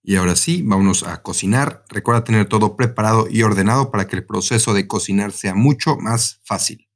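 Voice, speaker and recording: A man's voice: on the low side, a little fast. A man with a Mexican Spanish accent giving a casual, conversational recipe voice-over, speaking directly to the viewer as a cooking host.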